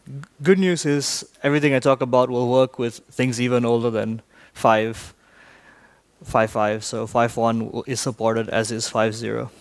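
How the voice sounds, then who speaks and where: A man from Malaysia giving a technical talk in a large conference hall, briskly quizzing the audience. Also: mid-range voice, flat delivery. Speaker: conference speaker.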